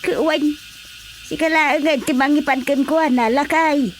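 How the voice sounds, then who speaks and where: A woman's voice: sits high, fairly even intonation, normal speed, natural voice. An actress playing a worried village woman in a radio drama. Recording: radio drama with faint noise.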